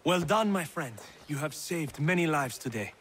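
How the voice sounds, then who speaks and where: A man's voice: on the high side, lively intonation, moderate pace, fairly bright tone, a little hiss or hum. A man acting a gracious, courtly officer speaking formally in a video game cutscene. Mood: earnest approval.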